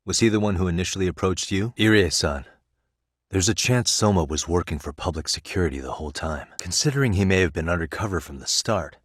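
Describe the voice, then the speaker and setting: Game detective: adult man, low pitch, close dry sound, composed, wry, questioning delivery. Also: fast, slightly rough, no echo. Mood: measured, probing. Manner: conversational, wry, probing. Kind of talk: video game voice acting.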